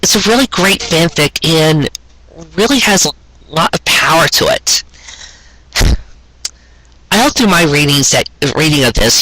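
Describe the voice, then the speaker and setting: A man giving an expressive, earnest reading in the manner of a storyteller, with dramatic phrasing. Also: fairly high pitch, dry recording, low-quality recording. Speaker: fan fiction reader and show host.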